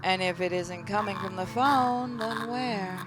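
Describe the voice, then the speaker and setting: A woman's voice: medium pitch, a little slow, noisy background. A woman reading scripted first-person visual novel narration in a wry, theatrical storytelling manner.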